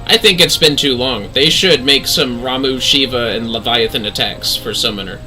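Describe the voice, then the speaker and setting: A man's voice: mid-range voice, normal speed, sharp tone, soft background music, rough recording. A man giving casual, sweary and jokey livestream commentary on a game, often exclaiming. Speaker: livestream host.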